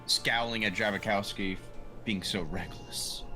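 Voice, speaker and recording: A man's voice: lowish voice, fairly even intonation, measured pace, sharp tone, faint music behind. A man playing a tabletop game, speaking in character as a stern, challenging sergeant. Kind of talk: in-character roleplay as a gruff sergeant.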